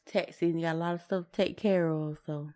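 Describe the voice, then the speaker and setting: A woman's voice: lowish voice, steady tone, fast, darkish tone. An American woman co-hosting a podcast, talking casually and colloquially, repeating words for emphasis. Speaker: podcast co-host.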